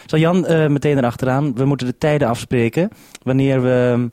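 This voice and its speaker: A man talking casually and practically over a radio link, with some halting phrases. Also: mid-range voice, steady tone, dark tone, dry recording, clean studio sound. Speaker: radio presenter and contact on shore. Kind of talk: informal radio link conversation.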